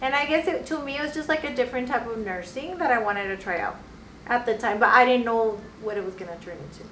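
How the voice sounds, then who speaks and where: A woman's voice: a little high, quick pace, a bit bright. A woman in her forties, a nurse and podcast guest, telling her story casually with many fillers and acted-out quotes. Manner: storytelling, acting out quoted voices, many likes. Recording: echoey room, mic at a distance, faint noise.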